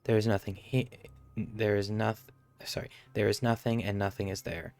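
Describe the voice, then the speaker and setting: A man's voice: on the low side, little pitch movement, moderate pace, a little mellow. A man streaming a game, giving offhand commentary and reading text aloud, sometimes stumbling over it.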